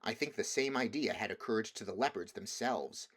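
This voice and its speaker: A man's voice: lowish voice, moderate pace, a bit bright. A man reading a children's fantasy novel aloud as scripted storybook narration. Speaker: amateur audiobook reader.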